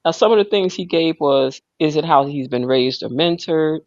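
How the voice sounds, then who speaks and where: A woman's voice: sits low, lively intonation, normal speed, a little mellow. A playful woman co-hosting a gossip show, teasing in with sing-song emphasis. The sound is dull and lo-fi. It is dry, with no room echo. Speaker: co-host. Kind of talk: casual gossip chat.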